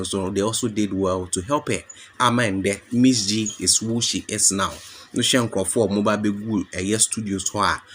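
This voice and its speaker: A Ghanaian man presenting a gossip channel, speaking to his viewers as family in English mixed with Twi. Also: lowish voice. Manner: addresses viewers as family, explains and praises. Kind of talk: commentary mixing English and Twi.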